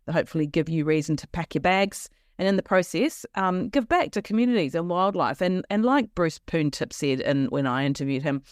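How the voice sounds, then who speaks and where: A woman with an Australian accent hosting a travel podcast, talking with lively enthusiasm and frequent restarts. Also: lowish voice, fairly quick, few pauses, no room sound, studio quality. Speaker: podcast host. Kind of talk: casual interview chat.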